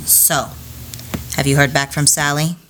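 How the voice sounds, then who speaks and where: A woman's voice: low voice, little pitch movement, measured pace, a bit bright. A woman acting a blunt, skeptical but protective sister in an amateur radio play. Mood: casual, caring. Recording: heavy rain and ambience noise.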